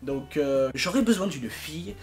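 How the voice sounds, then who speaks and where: A man's voice: fairly high pitch, lively intonation, moderate pace, a little mellow, soft background music. A young man giving a casual, informal announcement as a project leader, exasperated and emphatic with comic exaggeration. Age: young adult. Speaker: fandub project leader and video maker.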